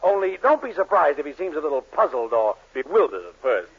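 An actor playing a sneaky, henpecked middle-aged shopkeeper, fussy and stammering, in a 1940s radio comedy. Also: male voice, a little high, sing-song, fairly quick, breathy edge, full character voice. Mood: panicky, conniving. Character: flustered, henpecked, sneaky married drugstore owner. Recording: muffled, scratchy 1940s radio recording.

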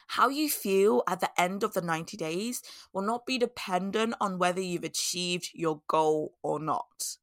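A woman hosting her own podcast, talking casually with a voice hoarse and scratchy from a cold, laughing at herself and repeating her key points. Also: fairly low pitch, no echo, clean studio sound. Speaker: podcast host. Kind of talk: casual solo podcast talk.

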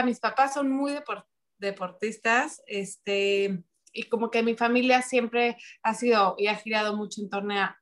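A woman's voice: fairly high pitch, fairly bright tone. Friendly rambling woman guest. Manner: friendly, rambling with restarts and fillers. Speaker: guest athlete. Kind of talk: casual interview chat.

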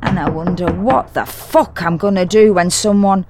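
A woman playing an exhausted, fretful woman who narrates a confessional audio drama monologue in an intimate, wry, deliberate way. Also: lowish voice, noisy background, close to an everyday voice. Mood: furious, determined. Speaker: narrator and actor.

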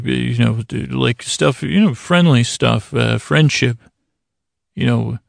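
A man hosting a bedtime podcast in a rambling, meandering monologue, hesitating, pausing and interrupting himself. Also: medium pitch, creaky, no room sound, studio quality. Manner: meandering, hesitant, with long pauses and self-interruptions. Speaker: podcast host.

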